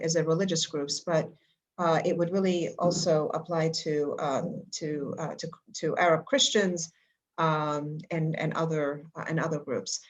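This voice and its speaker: Older woman, halting slide talk. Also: deep voice. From Egypt. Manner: halting, many fillers and restarts. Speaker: public speaker at a school board meeting. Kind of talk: prepared public comment with slides.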